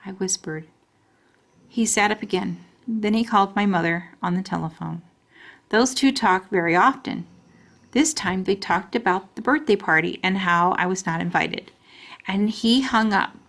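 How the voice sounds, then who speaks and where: A woman's voice: mid-range voice, normal speed, fairly bright tone. Woman narrating a children's storybook.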